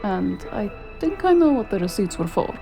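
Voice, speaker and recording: An actress playing a bossy, exasperated female partner of an investigator in a noir audio drama, brisk and teasing. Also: medium pitch, very animated, creaky, dark tone, faint music behind. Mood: no-nonsense.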